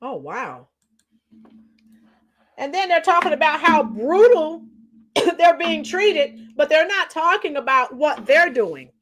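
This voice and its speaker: A middle-aged woman with a hoarse, tired voice chatting casually with livestream viewers, reading out comments and singing bits of songs. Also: high-pitched, very animated, takes it slowly, long pauses, fairly bright tone. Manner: chatty, reads out comments and sings along to songs. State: hoarse, losing her voice. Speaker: livestream host.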